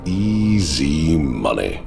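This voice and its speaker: A cocky, greedy game mercenary, drawling in slangy talk. The voice sits extremely deep and rumbles with grit, swinging widely in pitch, over loud music. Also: male voice, very animated, extremely slow, gravelly, heavily acted. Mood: scheming. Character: brash gravelly mercenary man, greedy and cocky. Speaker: mercenary partner.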